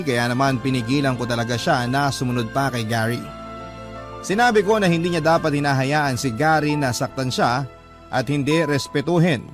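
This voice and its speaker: A man's voice: medium pitch, lightly acted. A Filipino actor playing a sincere, protective letter writer, telling his story in polite, reflective, sentimental radio narration. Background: music under the narration.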